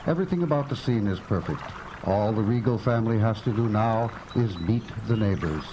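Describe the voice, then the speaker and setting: A man's voice: on the low side, expressive, a little fast, background noise, natural voice. An actor playing a suspenseful storyteller, a man narrating a scripted radio mystery. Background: music.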